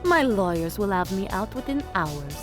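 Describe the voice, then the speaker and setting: Imperious female villain, actress, audio drama crime boss dripping scorn, expressive mid-range voice over music. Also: medium pitch, normal speed, natural voice. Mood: scornful. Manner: contemptuous, imperious. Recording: music behind the voice.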